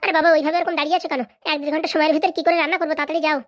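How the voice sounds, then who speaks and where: Woman playing a high-pitched, quick-talking scolding cartoon wife. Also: flat delivery, close to an everyday voice. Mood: scolding, exasperated. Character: thrifty, scolding wife refusing her husband money. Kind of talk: comedy cartoon dialogue.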